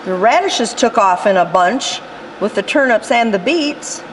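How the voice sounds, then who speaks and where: A woman reading a rhyming picture book aloud in an expressive storytelling style. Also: medium pitch, measured pace, a little hiss or hum, rough recording. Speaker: storytime reader.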